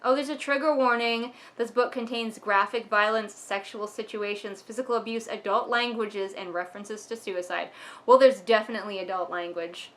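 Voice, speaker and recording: A woman's voice: mid-range voice, fairly even intonation, moderate pace, fairly bright tone. A woman hosting a book vlog, chatting casually to viewers and reading aloud with wry, sardonic asides. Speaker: book commentary vlogger and host.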